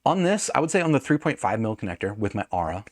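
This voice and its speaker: A man's voice: lowish voice, big pitch swings, fast, dry recording, clean studio sound. A man hosting an audio gear review in a casual, unscripted, conversational way, often hedging and correcting himself mid-sentence.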